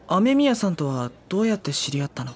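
An actor in a drama CD voicing a quiet young man who asks soft, hesitant questions. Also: high-pitched, very animated, quick pace. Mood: curious. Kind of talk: drama CD acting. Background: background music.

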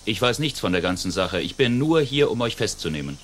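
An actor playing a dutiful army sergeant in a German radio drama, speaking formally and curtly. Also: male voice, lowish voice, big pitch swings, brisk, a little hiss or hum. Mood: curt, official. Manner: formal, curt.

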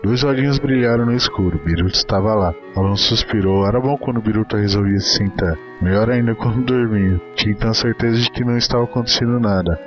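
A man's voice: on the low side, fast talker, hardly any pauses, lo-fi sound, faint music behind. A man narrating a short story as a dramatic literary reading.

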